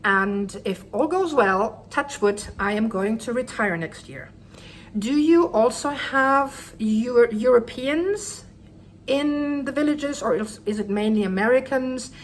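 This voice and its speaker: Friendly woman in her fifties, halting. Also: fairly high pitch, slight background noise. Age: 50s. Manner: friendly, careful, slightly halting. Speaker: viewer sending a video question. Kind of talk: recorded video message.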